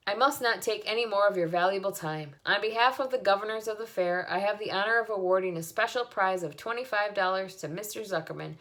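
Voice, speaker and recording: A woman voicing a pompous male fair announcer on a loudspeaker, speaking in a grand, showy way. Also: medium pitch, natural voice.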